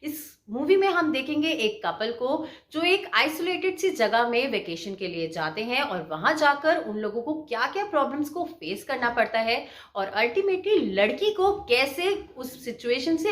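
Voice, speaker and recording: A woman's voice: a little high, big pitch swings, moderate pace, a bit bright. A woman hosting a channel, giving a scripted video introduction in a roomy, echoey recording. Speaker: channel host.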